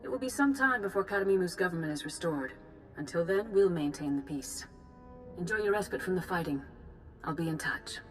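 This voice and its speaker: An actress playing a calm, grave senior Jedi leader giving formal, measured orders in a video game. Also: female voice, on the low side, soft background music. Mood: composed, satisfied. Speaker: commander giving a mission briefing. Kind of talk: video game cutscene acting.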